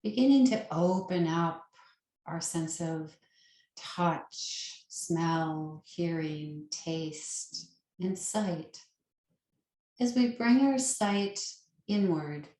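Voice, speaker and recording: A woman leading a guided meditation as a yoga nidra teacher, with a soothing, gentle and unhurried manner. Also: on the low side, lively intonation. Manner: soothing, unhurried, gentle instruction with long pauses. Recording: roomy, echoing sound.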